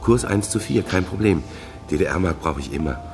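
Deep, gravelly, chummy wheeler-dealer. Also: male voice, animated, moderate pace, a little mellow. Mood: casual, chummy. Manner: offhand, easygoing. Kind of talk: radio play dialogue. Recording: music under the voice.

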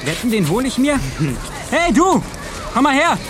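A teenage schoolboy zealot in a radio drama. His voice is very high and swings widely, officious and insistent, with some music behind it. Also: sing-song, a little slow, crowd noise, heavily acted. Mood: pushy, menacing. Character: zealous teenage schoolboy, self-appointed bodyguard turned bully. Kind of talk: German radio play acting.

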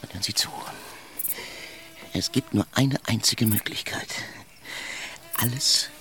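An actor in a German radio drama playing an ardent, scheming seducer who speaks with urgent, persuasive intensity. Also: male voice, medium pitch, wildly swooping pitch, unhurried, bright, forceful tone, whispered, heavily acted.

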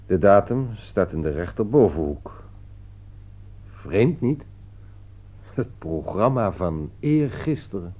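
A man's voice: low-pitched, very animated, takes it slowly, pauses often, full character voice. A man acting in a Dutch radio play as a shrewd, composed author who reasons like a detective, with pointed questions. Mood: probing. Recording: dull lo-fi radio play recording.

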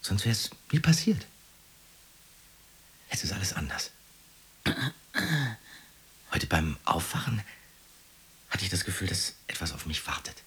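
An actor playing a laconic young man with a very husky, breathy voice and a dry, colloquial way of talking in a radio drama. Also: low voice, sing-song, a little slow, pauses often, fairly bright tone, heavily acted. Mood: hushed, hopeful.

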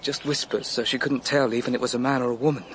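A man's voice: medium pitch, fairly even intonation, quick pace, a little hiss or hum, natural voice. An actor playing a brooding, sardonic young man in a radio drama. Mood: earnest, confiding. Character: brooding, sardonic adopted son of a murdered mother.

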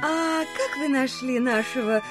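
An actress playing a gushing, eagerly agreeable landowner's wife in an audio drama, cooing and exclaiming theatrically. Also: female voice, high voice, unhurried, music in the background. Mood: gushing, polite.

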